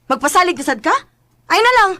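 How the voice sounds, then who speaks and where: A woman's voice: very high-pitched, expressive, quick pace, sharp tone, full character voice. A woman playing a young girlfriend who presses her partner for answers in an amateur radio drama. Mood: upset.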